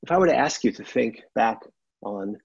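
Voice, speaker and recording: Gravelly middle-aged rabbi on a webcam. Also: male voice, medium pitch, expressive, normal speed, no room sound. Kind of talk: sermon. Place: sunlit home room with windows. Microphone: laptop webcam. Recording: dull, dry webcam audio.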